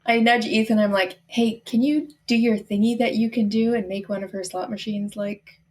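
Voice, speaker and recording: Slightly high-voiced woman playing a tabletop game. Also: flat delivery, moderate pace, darkish tone. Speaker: player in a tabletop roleplaying game. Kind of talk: casual chat, acting out her character.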